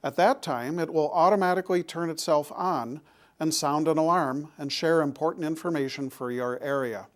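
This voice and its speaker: A man presenting scripted public safety information in a deliberate, instructional manner. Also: on the high side.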